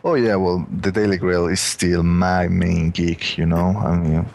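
A man with a Mexican accent chats as a podcast guest, rambling and leaning on "you know." His voice is very low and gravelly. He talks slowly and unhurriedly. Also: flat delivery, darkish tone. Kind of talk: casual podcast chat.

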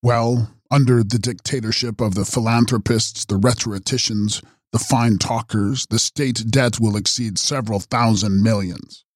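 A man voicing a mysterious, ageless male count who makes grave, measured prophecies in an audiobook. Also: fairly low pitch, fairly even intonation, no room sound, studio quality. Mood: prophetic. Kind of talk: audiobook character reading.

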